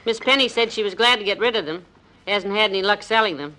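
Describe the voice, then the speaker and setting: A woman's voice: mid-range voice, quick pace, pushed and bright, natural voice, poor audio. An actress playing a wry, folksy, long-suffering housewife who quips her way through a 1950s radio comedy. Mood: wry, amused. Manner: wry, homespun, quipping. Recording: old radio recording, faint noise.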